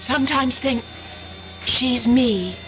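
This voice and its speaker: An actress playing an anxious, obsessed young college student in an old-time radio drama, speaking in a halting, intense, earnest way. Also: female voice, a little high. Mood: troubled, obsessed. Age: young adult. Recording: muffled old radio recording with music.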